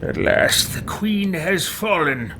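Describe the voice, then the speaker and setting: Guy doing a haughty evil wizard for a fantasy game, theatrical and slow, game noise behind. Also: sits high, expressive, pitched above the performer's own voice. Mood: triumphant. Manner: pompous, theatrical, old-fashioned phrasing. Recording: clear background game noise.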